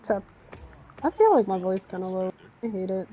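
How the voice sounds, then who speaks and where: A woman's voice: mid-range voice, big pitch swings, normal speed, warm tone, slight background noise. A woman chatting casually and grumbling sarcastically while playing a video game with her brother. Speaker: gaming streamer. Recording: dull lo-fi stream audio.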